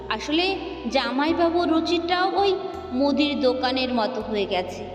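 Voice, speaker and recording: A chatty, sentimental housewife in her middle years , played by a woman storyteller, speaks. Her voice is high and confiding, proud of her husband. It echoes with long reverb over music, as in an audio story. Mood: hurt.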